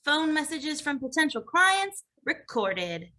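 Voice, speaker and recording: A woman voicing an eager, busy young woman in a cartoon comedy, with a brisk, clipped delivery. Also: high-pitched, very animated, pushed and bright, exaggerated voice. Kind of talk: animated comedy table read.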